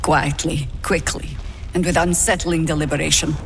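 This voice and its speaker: Casting: old veteran druid heroine, lowish voice, measured authority, wary edge, fantasy game. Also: female voice, level tone, gravelly, a little hiss or hum. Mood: wary, grave. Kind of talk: fantasy video game dialogue.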